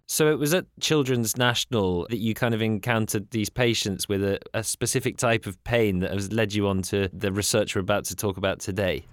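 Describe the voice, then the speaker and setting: A man narrating an introduction and asking interview questions in a measured way. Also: fairly low pitch, fairly even intonation, dry recording, clean studio sound.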